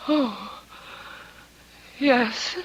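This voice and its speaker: High-pitched anxious wife. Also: female voice, dull audio, heavy background noise, exaggerated voice. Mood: dazed. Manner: emotional, halting, drawn-out delivery. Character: anxious devoted wife, fraught and pleading. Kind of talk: old-time radio drama acting.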